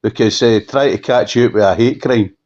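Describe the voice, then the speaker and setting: A Scottish man giving an unscripted telephone interview, halting and often repeating himself for emphasis. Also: mid-range voice, darkish tone. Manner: halting, repetitive, emphatic restatements. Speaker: campaigner being interviewed. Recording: dull lo-fi phone call.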